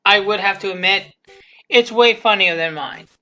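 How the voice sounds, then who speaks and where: A person giving casual, unscripted commentary on their own abridged series, rambling haltingly and repeating phrases. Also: medium pitch, pushed and bright. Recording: music behind the voice. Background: cartoon episode audio with music.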